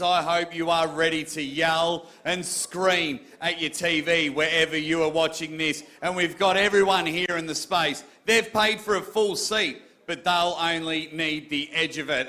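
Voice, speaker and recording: A man's voice: a little high, bright, forceful tone. A male event host presenting with energy, rallying the audience before a match.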